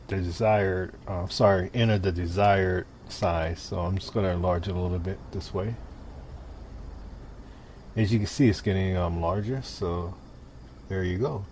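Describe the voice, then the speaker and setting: A man with a low voice gives an informal tutorial, talking through each step while he demonstrates. His delivery is casual and conversational. There is some noise behind him on the recording. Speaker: tutorial presenter. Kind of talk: casual software tutorial narration. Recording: clear steady background noise.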